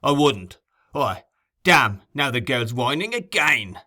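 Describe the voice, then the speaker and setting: A man playing a growling, savage male thief, bright forceful voice, loud. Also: medium pitch, expressive, moderate pace. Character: brutal surly male thief, sick in bed.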